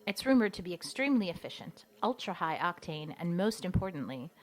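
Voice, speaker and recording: A woman playing a brisk section chief at a spy agency, curt and authoritative, in an audio comedy drama. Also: lowish voice, very animated. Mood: briefing, grave.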